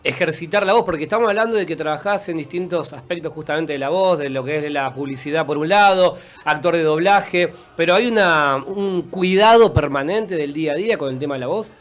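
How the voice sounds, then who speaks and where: A man's voice: on the high side, a little fast. A man with an Argentine accent co-hosting a radio show, asking direct questions in casual chat. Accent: Argentine Spanish. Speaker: radio co-host.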